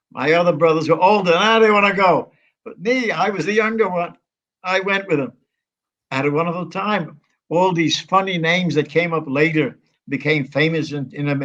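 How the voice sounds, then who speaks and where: A man in his nineties talks in a rambling, halting way, breaking off and restarting his sentences as he reminisces. His voice sits a little high for a man, and the audio is muffled and lo-fi. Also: fairly bright tone. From United States. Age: 90s. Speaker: interview guest. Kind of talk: conversational reminiscing.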